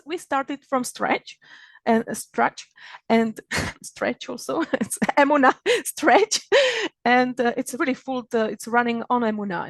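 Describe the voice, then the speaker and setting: A woman's voice: on the high side, no echo. Czech-accented woman hosting a virtual event. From Czech Republic. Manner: warm-hearted, laughing often, halting with many restarts. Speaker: magazine founder hosting an online event. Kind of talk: opening remarks at a virtual event. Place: home in Israel. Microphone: video call.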